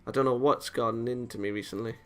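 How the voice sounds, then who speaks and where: A man's voice: mid-range voice, fairly even intonation, quick pace, soft background music. A man reading a visual novel aloud dramatically with off-the-cuff reactions, sometimes tripping over words and rereading them.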